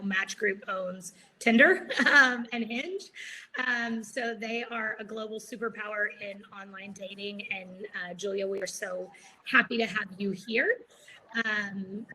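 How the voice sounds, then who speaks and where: A woman's voice: mid-range voice, a little slow, sharp tone, slight background noise, low-quality recording. A woman moderating an online video-call panel, chatty, with many filler words.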